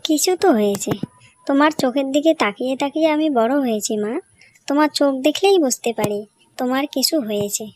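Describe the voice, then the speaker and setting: A woman's voice: high-pitched, fairly quick, no echo, lightly acted. A woman voicing a caring young village daughter in a cartoon story, earnest and coaxing. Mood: concerned. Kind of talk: Bengali cartoon story voice acting.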